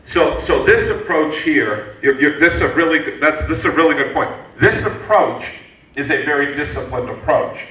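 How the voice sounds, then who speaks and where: A man in his fifties from the United States, answering an audience question at a lecture in a lively, joking way with many restarts. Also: on the high side, normal speed. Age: 50s. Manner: animated, joking, frequent restarts. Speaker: guest speaker, entrepreneurship lecturer. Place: lecture hall. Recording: echoey hall from far off.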